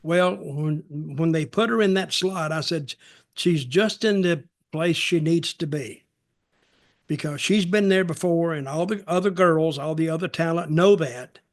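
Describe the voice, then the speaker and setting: An older man chatting casually as a podcast co-host, giving opinions in a halting way with repeated, restarted phrases. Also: fairly high pitch. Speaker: podcast co-host and wrestling veteran.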